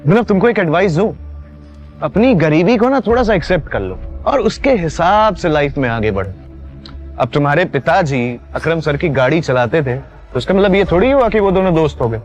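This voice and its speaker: Man acting a high-pitched, sneering office bully. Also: animated, muffled recording, soft background music, close to an everyday voice. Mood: mocking, scornful. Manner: mocking, drawn-out taunting condescension. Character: snide arrogant male office employee, scornful bully. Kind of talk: audio drama acting.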